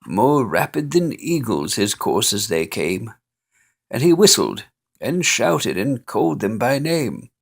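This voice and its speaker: A man reading a holiday poem aloud in an expressive, playful way, with chuckles along the way. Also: mid-range voice, takes it slowly, vocal fry. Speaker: storyteller.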